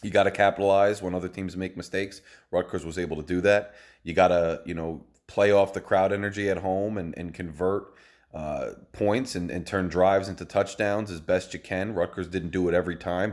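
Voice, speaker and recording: A man with a hoarse voice hosting a casual postgame football recap, rambling and enthusiastic, recorded on a phone. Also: low voice, fairly even intonation, a little fast, darkish tone. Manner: rambling, enthusiastic, many fillers. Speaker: host. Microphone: phone video.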